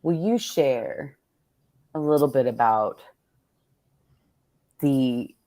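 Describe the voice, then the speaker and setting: A woman's voice: drawn-out words, dark tone, dry recording. A woman hosting a casual, reflective conversation as a poet, searching for her words and often rephrasing as she goes. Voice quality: low-pitched. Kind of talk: casual reflective interview chat.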